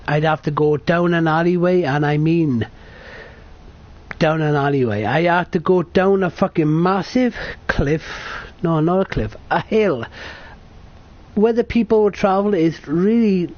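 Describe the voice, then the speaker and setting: A man's voice: on the high side, measured pace. A British man in his late thirties from the UK, telling a personal story to camera in a rambling, sweary, self-correcting way. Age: 30s. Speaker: vlogger. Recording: dull lo-fi sound.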